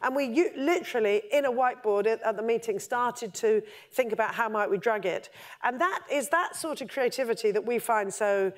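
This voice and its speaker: A middle-aged or older woman giving a conference keynote as an investor, speaking semi-spontaneously with hesitant pauses and repeated words. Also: a little high. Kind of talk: conference presentation, semi-scripted talk with slides. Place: stage at a conference, indoors.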